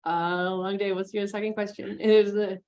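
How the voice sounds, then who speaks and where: A woman's voice: mid-range voice, little pitch movement, normal speed, a little mellow. A woman chairing a conference panel, speaking informally as she answers and moderates questions.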